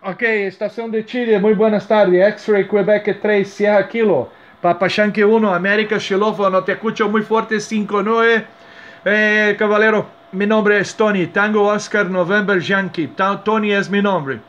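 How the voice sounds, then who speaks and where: Bright, high-pitched Brazilian-accented man. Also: steady tone, measured pace, highs cut off. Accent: Brazilian Portuguese. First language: Portuguese. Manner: friendly, cordial. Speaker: amateur radio operator. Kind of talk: casual ham radio contact with call signs.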